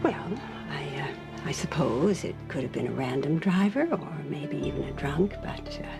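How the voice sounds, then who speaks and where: An actress playing a protective, anxious older grandmother in a TV soap, genteel, halting and emphatic. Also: deep voice, gravelly, heavily acted. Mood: grave suspicion. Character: protective, anxious well-bred grandmother at a hospital bedside. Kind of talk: TV soap opera acting. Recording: music behind the voice.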